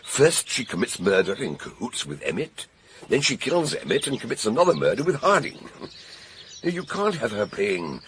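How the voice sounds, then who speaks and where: An actor playing a blunt British detective who reasons emphatically in a radio mystery drama. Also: male voice, medium pitch, big pitch swings, a bit bright, a little hiss or hum. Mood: emphatic, argumentative. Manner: emphatic, argumentative, deliberate.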